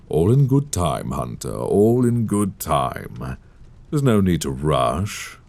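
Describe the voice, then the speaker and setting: Sadistic gentleman vampire for roleplay audio: bottomless creaky bass, slow, savoring delivery, silky and menacing, close binaural mic. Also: sing-song, heavily acted. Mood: playful, insistent. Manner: courtly, teasing, menacing, savoring his words. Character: suave, sadistic male vampire lord courting his captive enemy. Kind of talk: intimate roleplay audio acting. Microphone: close binaural microphone. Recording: close binaural mic, clear background noise.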